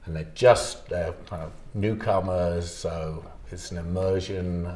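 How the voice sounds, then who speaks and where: Deep-voiced, slow-talking male teacher. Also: fairly even intonation, a little mellow. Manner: measured, with hesitant "um"s. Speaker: English language teacher. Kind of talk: interview answers. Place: school classroom. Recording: roomy echo.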